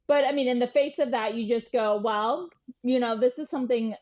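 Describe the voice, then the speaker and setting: A woman's voice: on the high side, fairly quick, a little mellow. A woman speaking as an interview guest, telling stories conversationally in a blunt, casual, sweary way. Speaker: interview guest, writer and historian. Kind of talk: animated conversational storytelling.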